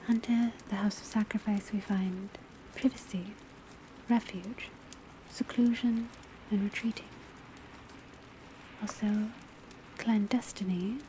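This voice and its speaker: A woman playing a patient, studious witch school teacher in an ASMR roleplay, whispering softly and deliberately as she lectures. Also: medium pitch, close to an everyday voice. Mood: calm, instructive. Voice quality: soft, breathy whisper. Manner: measured, deliberate, instructional. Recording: crackling fire, rain, pencil noise behind. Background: crackling fireplace, rain on roof, pencil writing.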